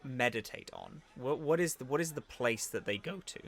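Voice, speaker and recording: A man narrating as game master in an improvised tabletop roleplaying session, halting and thoughtful as he goes. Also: medium pitch, lively intonation.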